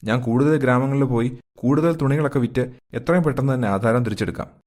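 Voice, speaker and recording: A man acts out a middle-aged family man in a moral tale. He speaks earnestly and insistently, like someone pleading for his family, in a medium-pitched male voice. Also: fast, darkish tone, close to an everyday voice. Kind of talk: acted dialogue in a moral story.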